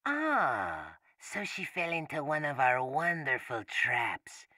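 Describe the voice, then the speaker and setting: An actor playing a small, smugly sinister, aristocratic castle lord in a video game, pompous and mockingly polite. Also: male voice, mid-range voice, big pitch swings, slow pace, sharp tone, full character voice. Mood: smug taunting. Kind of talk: video game villain acting.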